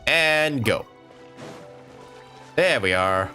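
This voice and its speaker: A man's voice: mid-range voice, expressive, very slow, sharp tone. A man live-streaming a game with casual, unscripted, sweary commentary, talking to himself as he plays. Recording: game music behind.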